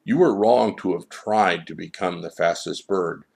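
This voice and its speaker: A divine child in a storybook, voiced by a man in his own low register. The delivery is stern and measured, patiently lecturing with solemn wisdom. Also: gravelly, a little mellow, slightly rough, heavily acted. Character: stern, wise divine child, serenely correcting.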